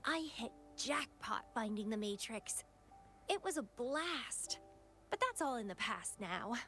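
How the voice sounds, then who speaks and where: A woman's voice: a little high, lively intonation, unhurried, pushed and bright, soft background music, heavily acted. An actress voicing a playful, dangerously devoted young woman rival in a video game, with a teasing, languid, dramatic delivery. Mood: breezy, confident. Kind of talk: scripted video game voice acting.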